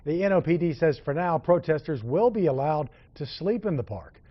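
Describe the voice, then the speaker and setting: Male newscast anchor, fairly high voice, slightly muffled broadcast audio. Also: animated, normal speed. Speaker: news anchor. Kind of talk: scripted newscast narration.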